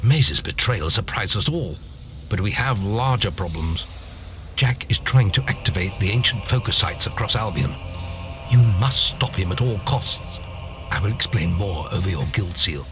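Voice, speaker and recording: Man acting a deep-voiced, grave guild master. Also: animated, normal speed, over music, natural voice. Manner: solemn, urgent, formal instruction. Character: grave, commanding older male guild master mentor. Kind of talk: scripted fantasy game acting.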